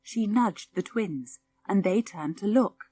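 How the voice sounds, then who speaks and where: A woman reading a children's audiobook as narrator, in expressive scripted storytelling. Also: mid-range voice, takes it slowly, faint music behind.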